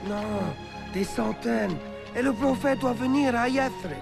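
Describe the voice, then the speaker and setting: An earnest believer speaking for his town in an animated historical drama. He is fervent and sincere, and loud music swells behind him. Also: very high-pitched, measured pace, gravelly, full character voice. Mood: eager, joyful. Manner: fervent, pleading.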